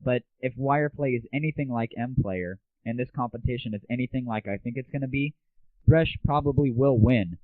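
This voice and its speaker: A man's voice: mid-range voice, brisk, no room sound. Lo-fi guy, irreverent gaming show host. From United States. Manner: irreverent, slangy, opinionated. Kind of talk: casual solo news commentary. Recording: muffled phone-like lo-fi audio.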